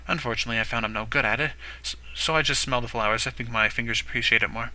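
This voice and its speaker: A gentle, shy young woman who speaks politely and softly, as a man reads her lines. The delivery is quick, and the tone is very bright, as in a visual novel read aloud. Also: mid-range voice, poor audio. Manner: soft-spoken, polite.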